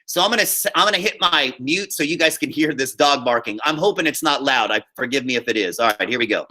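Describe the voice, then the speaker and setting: A man's voice: medium pitch, expressive, fast, sharp tone. A man teaching drama, giving informal, encouraging step-by-step instruction and often checking that the class follows. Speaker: high school drama teacher. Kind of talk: casual spoken instruction to a class over screen share.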